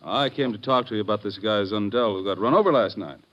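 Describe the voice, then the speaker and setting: An actor playing a chatty, probing American newspaper correspondent in an old radio drama, casual and colloquial. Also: male voice, on the low side, fast talker, close to an everyday voice. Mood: breezy, probing. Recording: dull vintage radio recording.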